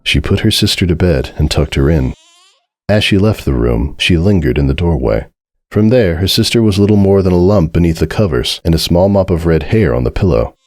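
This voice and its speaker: A man giving a dramatic scripted narration of a story in a measured, solemn way. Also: deep voice, expressive, darkish tone, no echo, studio quality. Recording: music behind the voice.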